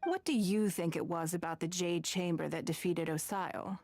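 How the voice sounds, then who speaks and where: An actress playing a composed, shrewd and wealthy collector in a video game, speaking in a measured, formal and eloquent way with dry wistfulness. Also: female voice, on the low side, a little mellow, dry recording. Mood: measured, explaining. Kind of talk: video game character dialogue.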